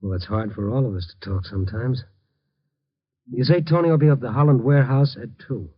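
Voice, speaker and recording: An actor with an American accent playing a terse but compassionate investigator who narrates a 1950s radio drama. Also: male voice, medium pitch, fairly quick, breathy edge, lightly acted. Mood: probing. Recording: dull lo-fi vintage radio recording.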